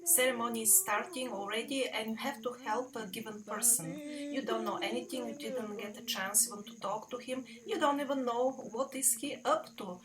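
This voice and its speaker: A woman's voice: a little high, fairly even intonation, normal speed. A woman interviewer asking questions in a conversational style. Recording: distant mic, clear crowd noise behind.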